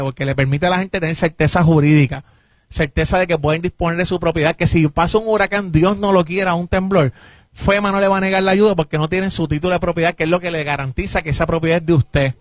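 Emphatic Puerto Rican official, dry sound. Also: male voice, high-pitched, no room sound. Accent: Puerto Rican Spanish. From Puerto Rico. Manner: emphatic, insistent, repeats points. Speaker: government official. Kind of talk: interview answers, political defense.

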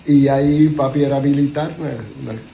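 A man's voice: mid-range voice, fairly even intonation, moderate pace, vocal fry, dark tone, a little hiss or hum. A man in his seventies from Puerto Rico with a Puerto Rican accent, a motivational speaker telling his life story as a guest in a conversational interview. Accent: Puerto Rican Spanish. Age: 70s.

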